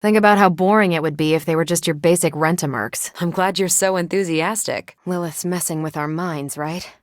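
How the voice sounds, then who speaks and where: A woman's voice: fairly low pitch, a little fast, no echo, studio quality, lightly acted. A woman with an American accent playing a confident, wry, upbeat superheroine in a video game, with breezy, teasing, casual delivery. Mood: conversational. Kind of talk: video game voice acting, short one-off lines.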